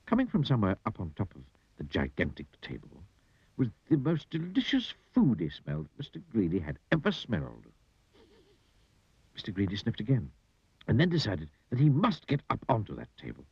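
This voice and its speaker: Wildly expressive male storybook narrator. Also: lowish voice, husky, no echo. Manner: theatrical, drawn-out emphasis on words. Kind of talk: animated children's storybook narration. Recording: dull lo-fi audio with music. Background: music.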